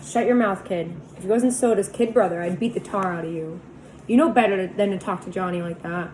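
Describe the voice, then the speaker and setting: Woman reading as a cocky teenage boy, tough, good-natured, forceful. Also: mid-range voice, a little fast, slight background noise, natural voice, poor audio. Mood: fierce. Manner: forceful, scolding.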